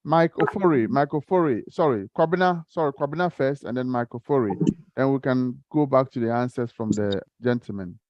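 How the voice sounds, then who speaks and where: A man's voice: mid-range voice, brisk, no echo, highs cut off. A man moderating an online community question-and-answer session, politely thanking callers and calling on the next speaker by name. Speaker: forum moderator.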